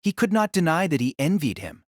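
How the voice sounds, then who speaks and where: A man's voice: a little high, very animated, brisk, no echo, clean studio sound. A man narrating a scripted audio drama as a storyteller.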